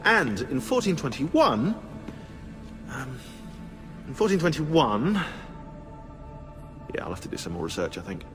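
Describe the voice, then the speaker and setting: An actor playing a sarcastic, pedantic researcher in a video game, halting as he thinks aloud. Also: mid-range voice, sing-song. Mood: musing. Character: fussy, sarcastic British history researcher. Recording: echoey hall, music behind.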